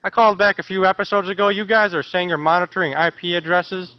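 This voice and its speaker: Stern, scolding male deputy voiced by a man, high and nasal-bright, on a dry, muffled line. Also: flat delivery, quick pace, dry recording, close to an everyday voice. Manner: officious, scolding. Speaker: caller.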